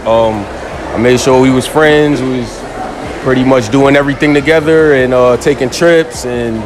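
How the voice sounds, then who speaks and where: A man's voice: medium pitch, steady tone, normal speed, background noise. A young man answering interview questions in a mall, laid-back and full of "you know". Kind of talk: casual answers. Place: indoor shopping mall. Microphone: interviewer's handheld phone.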